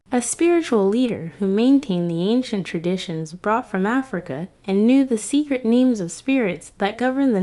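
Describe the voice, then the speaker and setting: A woman giving scripted history narration in a grave, measured storytelling manner. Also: mid-range voice, mellow.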